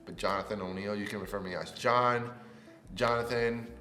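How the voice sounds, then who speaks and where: A man's voice: lowish voice, normal speed, faint music behind. A man in his twenties from Puerto Rico, a native Spanish speaker, giving an upbeat, chatty introduction on his new channel. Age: 20s. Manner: upbeat and chatty, rising with excitement. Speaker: YouTuber. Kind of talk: casual vlog self-introduction. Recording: echoey room with long reverb.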